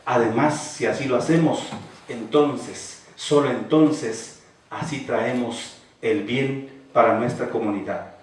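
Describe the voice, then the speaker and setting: A man giving a slow, solemn ceremonial address at a cultural event. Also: mid-range voice. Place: large hall. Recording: echoey hall, distant voice, faint hiss.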